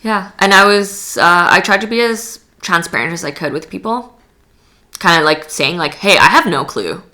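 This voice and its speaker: A woman's voice: fairly low pitch, expressive, moderate pace, bright, forceful tone. A young woman talking candidly as a podcast guest, with lots of fillers and laughs. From Canada. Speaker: guest, yoga studio founder. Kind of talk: casual interview chat.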